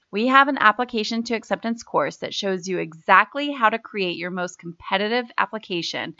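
A woman's voice: mid-range voice, sharp tone, no room sound. A woman co-hosting a podcast, reading an upbeat scripted intro and promotion. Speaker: podcast co-host. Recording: dry, dull lo-fi sound.

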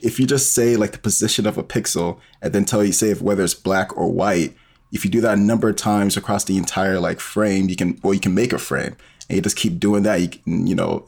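A man's voice: lowish voice, gravelly, darkish tone. A man speaking as an interview guest, explaining things informally and step by step with many hesitant fillers. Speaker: podcast guest, bioinformatics professional and storyteller. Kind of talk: casual explanatory interview talk.

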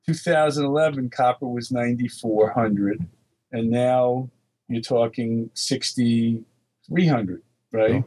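A man's voice: medium pitch, steady tone, slow, a little mellow, breathy edge, dry recording, poor audio. An older man from the Netherlands, a market research guest, chatting casually and reading long lists of dates aloud. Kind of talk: casual phone interview chat.

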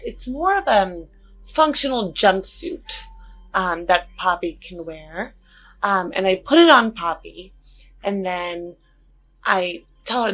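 A woman's voice: medium pitch, a bit bright, low-quality recording. A woman talking casually and tentatively as a player in a tabletop role-playing game, describing her character's actions.

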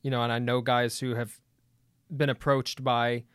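A man debating as a guest, pushing back eagerly with quick stumbling restarts. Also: medium pitch, level tone, fairly bright tone, smooth, dry recording, studio quality. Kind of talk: debate conversation.

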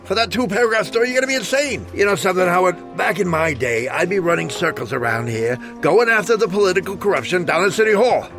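An actor playing a cantankerous older newspaper editor, blustery and grumbling. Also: male voice, sits high, expressive, quick pace, hardly any pauses, over music. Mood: exasperated.

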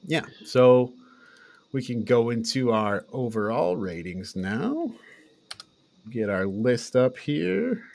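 A man's voice: medium pitch, very animated, takes it slowly, vocal fry, a little mellow. A man hosting a podcast, talking casually as he reviews and discusses with others. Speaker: podcast host.

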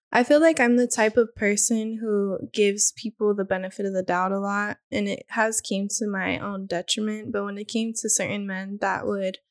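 A woman co-hosting a podcast, reflecting in a level, unhurried way about giving people the benefit of the doubt. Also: medium pitch, no room sound, clean studio sound. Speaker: podcast co-host. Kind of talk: casual podcast chat.

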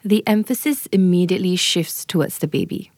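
An earnest woman doula talking through birth and motherhood, pausing to ask "right?" as she goes. Her voice sits a touch low and is recorded dry and close, with no room sound. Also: expressive, darkish tone, no echo. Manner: earnest, often checks in with "right?" and "you know". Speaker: doula, guest. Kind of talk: explanatory interview talk.

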